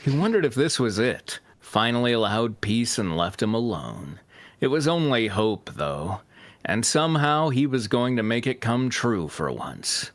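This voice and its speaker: Male amateur narrator, animated and amused, middle-pitched, dry close sound. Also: dry recording. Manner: amused, reacting to the text. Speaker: narrator of a fanfiction reading show. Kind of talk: comic story read-aloud with casual banter.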